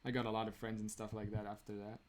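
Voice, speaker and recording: A man's voice: lowish voice, flat delivery, fast talker. Laughing young man chatting on a live stream. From Romania. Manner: chatty, laughs often, many "like" fillers. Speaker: streamer answering chat. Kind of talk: casual live-stream chat.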